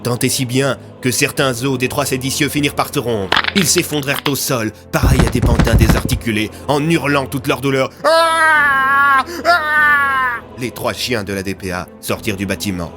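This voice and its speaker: A man's voice: medium pitch, brisk, few pauses, over music. A man narrating a scripted audio story in a dramatic style.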